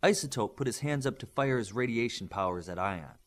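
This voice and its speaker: A man's voice: mid-range voice, expressive, brisk. A man reading a scripted adventure story aloud as an audiobook narrator.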